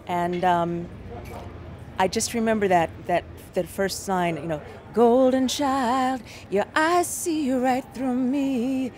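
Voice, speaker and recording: A woman guest singer speaking in an interview, soft-spoken and halting, with many pauses. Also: on the high side, slight background noise. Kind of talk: interview conversation.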